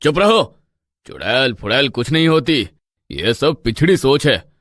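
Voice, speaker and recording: A man's voice: mid-range voice, sing-song, measured pace, fairly bright tone, no room sound, clean studio sound. An actor playing an arrogant, wealthy middle-aged village man in a storybook tale, with an emphatic, dismissive manner.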